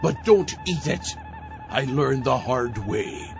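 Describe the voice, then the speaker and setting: A man playing a drunken, scheming, excitable pirate captain in a comic audio drama, gravelly and theatrical. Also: a little high, slow pace, lo-fi sound, music in the background, exaggerated voice. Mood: blustering. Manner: theatrical, swooping, pirate-talk phrasing.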